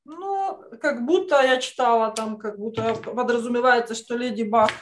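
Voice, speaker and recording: A woman's voice: on the high side, moderate pace, slight background noise. A woman teaching an online drawing lesson to children, encouraging and full of praise. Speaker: art teacher. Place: indoors on a video call.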